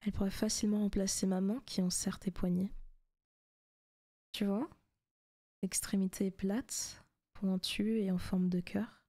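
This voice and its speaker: Slow, whispery demon girlfriend, acted by a woman. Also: mid-range voice, flat delivery, natural voice. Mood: teasing, doting. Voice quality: soft, breathy, often whispered. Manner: slow, intimate, teasing. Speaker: ASMR roleplay performer. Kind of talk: whispery ASMR roleplay.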